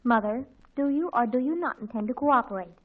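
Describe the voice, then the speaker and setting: A woman playing an excitable, bossy teenage girl in a 1940s radio comedy, dramatic and wheedling. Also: fairly high pitch, a little fast, lightly acted. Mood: excited, bossy. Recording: muffled 1940s radio recording.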